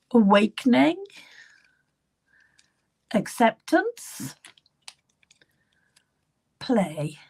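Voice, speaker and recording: A middle-aged woman medium talks to her live audience in an unhurried, gentle way, her voice somewhat high and still a touch croaky. She greets viewers by name and sometimes drops to a soft whisper. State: slightly croaky voice. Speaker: psychic medium. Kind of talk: live-streamed reading session, chatty.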